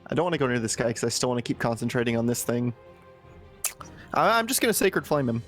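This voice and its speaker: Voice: mid-range voice, big pitch swings, fast, faint music behind. Player thinking aloud over tactics. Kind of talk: casual tabletop game chat.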